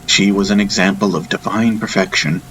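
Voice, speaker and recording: A man narrating a first-person fantasy audiobook in a solemn, measured, reflective manner. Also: deep voice, fairly even intonation, fairly bright tone, soft background music, rough recording.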